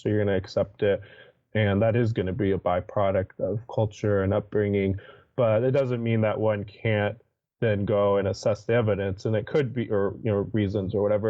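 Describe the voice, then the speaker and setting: A man appearing as a guest in a debate, thinking aloud hesitantly with many pauses and restarts. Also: lowish voice, muffled recording. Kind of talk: casual debate conversation.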